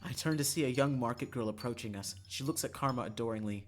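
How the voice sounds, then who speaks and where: Expressive game streamer over music. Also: medium pitch, quick pace. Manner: expressive, breaks into exasperated profane asides. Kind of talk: reading a visual novel aloud on a livestream. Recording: music behind the voice.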